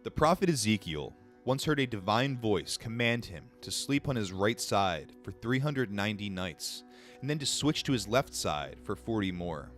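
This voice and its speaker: A man's voice: on the low side, expressive, normal speed, fairly bright tone, soft background music. A young man reading a book aloud on a livestream, stumbling over words now and then and breaking off to answer chat in a casual, blunt, swearing way.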